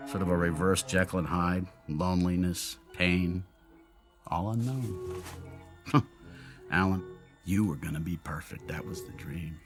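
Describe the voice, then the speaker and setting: A man's voice: deep voice, fairly even intonation, unhurried, pauses often, over music. An actor in a radio drama playing a brilliant, lonely male inventor who explains himself in theatrical, brooding monologues. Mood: regretful. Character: brilliant, lonely, self-doubting male inventor of an android double.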